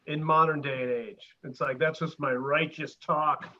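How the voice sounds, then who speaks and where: A man's voice: on the high side, fairly even intonation, measured pace, pushed and bright. A man co-hosting in casual, slangy, blunt conversation, heard over a lo-fi remote connection. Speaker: co-host. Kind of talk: casual chat.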